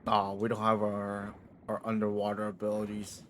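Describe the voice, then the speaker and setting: A man's voice: lowish voice, normal speed, slight background noise. Offhand man streaming a game. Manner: offhand, relaxed remarks. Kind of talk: casual gaming commentary.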